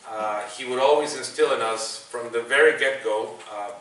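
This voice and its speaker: A man's voice: mid-range voice, normal speed. Salvadoran-accented man in an echoey classroom. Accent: Salvadoran Spanish. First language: Spanish. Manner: self-deprecating, conversational, restarts often. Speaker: guest speaker, sports broadcaster. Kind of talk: public talk to students. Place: university classroom. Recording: distant mic, echoey classroom, faint noise.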